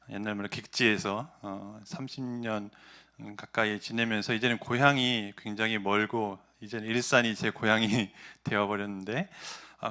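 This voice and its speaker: Bright-voiced pastor preaching conversationally. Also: male voice, medium pitch. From Gyeongsan, North Gyeongsang, South Korea. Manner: conversational, many fillers, asks the congregation questions. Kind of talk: sermon.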